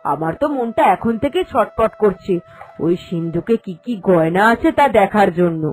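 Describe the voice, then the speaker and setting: A woman's voice: mid-range voice, lively intonation, moderate pace, darkish tone, lightly acted. A woman voicing a crafty, greedy elder daughter-in-law in a cartoon, bossy and sly in her delivery. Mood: impatient, sly. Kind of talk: cartoon storytelling dialogue. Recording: voice over background music.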